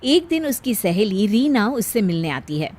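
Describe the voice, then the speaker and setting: A woman's voice: mid-range voice, sing-song, normal speed, darkish tone. A woman narrating a scripted story in the role of storyteller.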